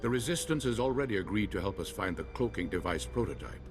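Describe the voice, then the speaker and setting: A seasoned older space-knight strategist , played by a male actor in a video game. He speaks formally and with measure, in a low voice with light music behind him. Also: animated, fast talker, natural voice. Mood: measured. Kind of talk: video game cutscene acting.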